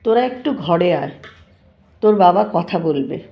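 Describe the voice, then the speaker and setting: Lady doing a homely middle-aged village mother, audio story, gravelly and soft-toned, firm motherly talk. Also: on the low side, expressive, measured pace. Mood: motherly, concerned. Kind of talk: Bengali audio drama acting.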